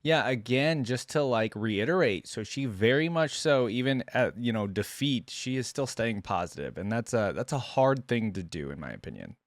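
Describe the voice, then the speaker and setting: Male reaction host: casual, thoughtful explainer, average pitch, dry sound. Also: animated, normal speed, hardly any pauses, no echo. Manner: musing, explanatory.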